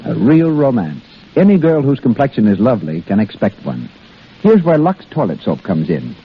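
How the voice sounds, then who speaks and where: A man's voice: medium pitch, sing-song, normal speed, slightly rough. A man with an American accent announcing and reading scripted advertising in 1930s radio style. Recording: muffled old radio broadcast.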